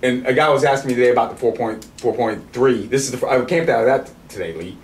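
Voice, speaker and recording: A middle-aged man hosting a podcast, telling a casual story in an animated, excitable way, swearing freely and restarting sentences. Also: mid-range voice, fast. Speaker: podcast host. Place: indoor studio with camo backdrop, at a table. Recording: roomy and distant with faint noise.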